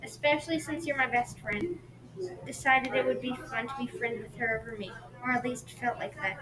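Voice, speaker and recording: A woman playing a hurt, nervous schoolgirl best friend who speaks quietly in an audio story. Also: fairly high pitch, a little fast, a crowd in the background, close to an everyday voice, low-quality recording. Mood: quiet, wounded. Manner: quiet, wounded. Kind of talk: acted dialogue in an audio story.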